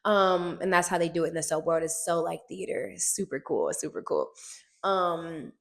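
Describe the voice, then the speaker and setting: Animated woman telling her story. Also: on the low side, normal speed, vocal fry. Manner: animated, emphatic storytelling, often repeats phrases. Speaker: actress. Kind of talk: casual personal testimony to camera. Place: on a film set.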